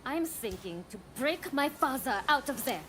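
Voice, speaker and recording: A woman's voice: high-pitched, expressive, normal speed, sharp tone, soft background music. A woman playing a headstrong, spirited young woman in a video game cutscene. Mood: defiant. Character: headstrong, anxious young woman, a doctor's daughter.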